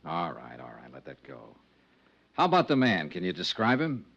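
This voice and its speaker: Wry insurance detective: lowish voice, swinging intonation, clipped and probing, fifties radio serial. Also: male voice, wildly swooping pitch, moderate pace, gravelly, fairly bright tone, slightly rough, exaggerated voice. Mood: probing, exasperated. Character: sardonic, probing male insurance investigator hero. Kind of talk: 1950s radio detective acting and narration. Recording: muffled old radio recording.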